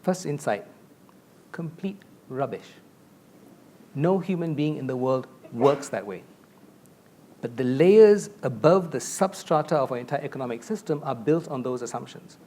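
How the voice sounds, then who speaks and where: A middle-aged man from Malaysia talks through a lapel mic on a conference stage, explaining ideas at length and with conviction. His pitch sits in a plain middle range. A faint low rumble sits under his voice. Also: animated, normal speed, warm tone. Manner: expansive, explanatory, gestures a lot while talking. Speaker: panelist. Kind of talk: conference panel discussion. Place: large stage with a curtain backdrop.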